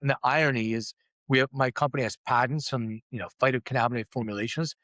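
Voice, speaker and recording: A man's voice: mid-range voice, fast talker, a bit bright, dry recording. A middle-aged man from Lithuania, raised in Philadelphia, telling his life story openly and with self-deprecating humor as an interview guest. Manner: open, self-deprecating, acts out quoted voices. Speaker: guest, company CEO.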